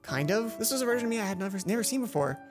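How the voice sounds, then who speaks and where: A woman's voice: on the high side, expressive, rapid-fire. A woman hosting a let's play, reading a visual novel aloud and dropping in chatty, playful comments. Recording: music behind the voice.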